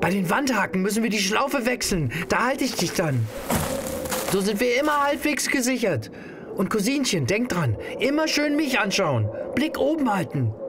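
A man's voice: high-pitched, big pitch swings, normal speed. A man acting a young male hiker, exhausted but urging, in an audio drama. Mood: instructing, urgent. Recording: noisy outdoor ambience behind dialogue.

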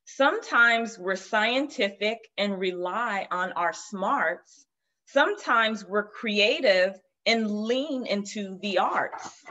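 A woman reads a picture book aloud at an unhurried, gentle pace. Her voice comes through a muffled, lo-fi video call. The call is from a room lined with children's books. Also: medium pitch, lively intonation. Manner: slow, gentle read-aloud. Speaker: guest storyteller. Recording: dull lo-fi video call audio.

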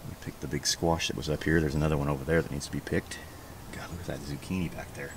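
A man giving a casual walking tour of his backyard garden outdoors, chatty and relaxed as he points things out on a handheld camera. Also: a bass voice, fast talker, noisy background. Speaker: homestead vlogger. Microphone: handheld camera held while walking.

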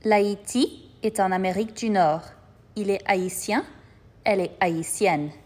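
A woman reading scripted lesson narration with careful, measured enunciation. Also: mid-range voice, a little mellow, slight background noise.

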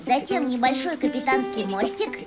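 A performer voicing a cheerful, helpful little boy rabbit who explains drawing clearly in a children's cartoon, in a friendly, instructive way. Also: sits high, lively intonation, normal speed. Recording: loud music behind.

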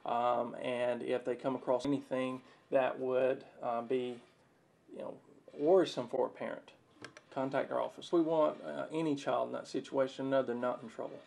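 A man in his thirties speaks as a police detective being interviewed. His voice is flat and creaky, and he explains things in a halting, official manner. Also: medium pitch. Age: 30s. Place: small office.

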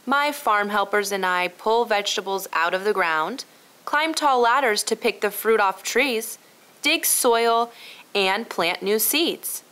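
Kindly storybook farmer man voiced by a woman, instructive and earnest, lively melodic delivery. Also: medium pitch, slow pace, smooth. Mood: proud, instructive. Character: kindly, hardworking male farmer explaining his crops.

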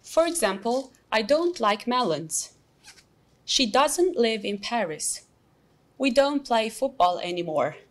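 A young woman with an Albanian accent teaching an English lesson, explaining slowly and patiently with many examples. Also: on the high side, sing-song. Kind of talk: recorded classroom lesson.

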